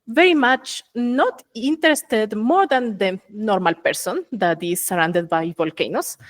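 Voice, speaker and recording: Ecuadorian woman explaining with careful pauses. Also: on the low side. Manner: thoughtful, explains step by step with many "uh" pauses. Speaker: geophysicist guest. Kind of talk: casual interview.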